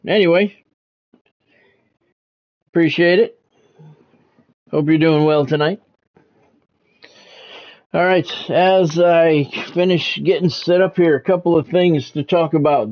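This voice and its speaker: A man hosting a game livestream, talking sports to his viewers in measured, announcer-like phrases. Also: fairly high pitch, darkish tone. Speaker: game streamer and sports commentator, former radio play-by-play announcer. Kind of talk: livestream monologue, sports talk to chat viewers.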